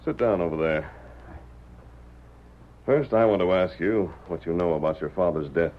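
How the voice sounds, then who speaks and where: Deep american masked cowboy hero, old radio show, firm and in charge, kinda dull lo-fi sound. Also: male voice. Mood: stern, probing. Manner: firm, measured, authoritative. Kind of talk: old-time radio western acting. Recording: muffled vintage radio drama.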